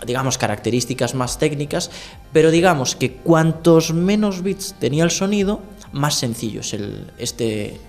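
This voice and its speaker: A man's voice: fairly high pitch, lively intonation, normal speed. A man co-hosting a radio gaming podcast, patiently explaining music production with simple comparisons. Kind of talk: casual explanatory chat.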